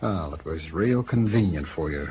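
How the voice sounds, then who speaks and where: An actor playing an agitated, indignant American city official in a 1950s radio noir drama. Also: male voice, low voice, animated, normal speed. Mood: accusing. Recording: tinny, hissy vintage radio recording.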